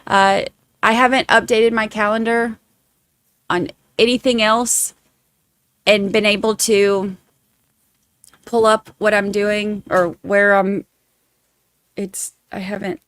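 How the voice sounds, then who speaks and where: A woman's voice: mid-range voice, little pitch movement, slow, pauses often, a bit bright. A woman with a Southern Georgia accent hosting a casual livestream, rambling and self-deprecating as she chats to her viewers. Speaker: livestream host covering trials.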